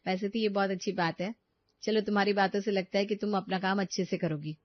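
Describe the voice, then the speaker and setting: A woman's voice: medium pitch, fairly even intonation, brisk, no echo, muffled recording, lightly acted. Woman plays young working daughter-in-law, cartoon story; polite yet exasperated, chatty, dry close sound with dulled top. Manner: complaining, chatty.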